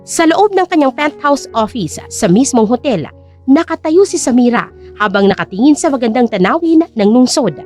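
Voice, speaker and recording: A woman narrating an audio drama with a steady, storytelling delivery. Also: high-pitched, moderate pace, faint music behind.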